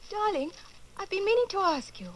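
An actress playing a tender, defiant young lover in an old-time radio drama. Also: female voice, extremely high pitch, animated, a little fast. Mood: curious, playful.

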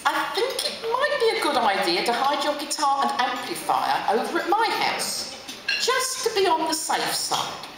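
A woman's voice: sits high, moderate pace, voice pushed up. A woman voicing an eager, urgent young lad in a book reading. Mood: anxious. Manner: excitable, urgent, slangy. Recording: distant, echoey hall with clear noise.

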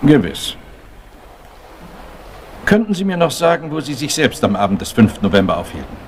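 An actor in a German crime radio play playing a courteous Scotland Yard chief inspector who questions methodically and with dry insistence. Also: male voice, medium pitch, sing-song, normal speed, slight background noise. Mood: matter-of-fact probing.

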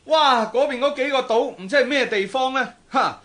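Eager, high-voiced teenage youth. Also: male voice, normal speed, a bit bright. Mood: excited, dreamy. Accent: Hong Kong Cantonese. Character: eager, ambitious, bold teenage youth from Fujian. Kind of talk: radio drama acting.

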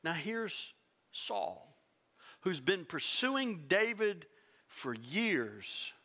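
A middle-aged pastor preaches from a church stage with an animated delivery. He swings between loud emphasis and hushed, near-whispered lines. His voice is bright and fairly high. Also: drawn-out words. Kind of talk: sermon.

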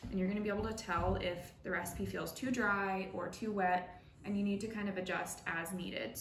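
A young woman hosting a casual home baking tutorial, talking through each step in a friendly, chatty way as she works in her kitchen. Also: medium pitch. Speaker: home baker and channel host. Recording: echoey kitchen with faint noise.

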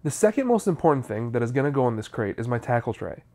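A man's voice: mid-range voice, very animated, quick pace, darkish tone. A man, narrating a how-to video in a scripted, explanatory style.

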